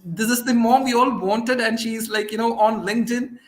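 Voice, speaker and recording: A man's voice: very high voice, steady tone, normal speed. A man from southern India with a South Indian accent and Tamil as his first language, answering interview questions hesitantly, with many fillers. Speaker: guest.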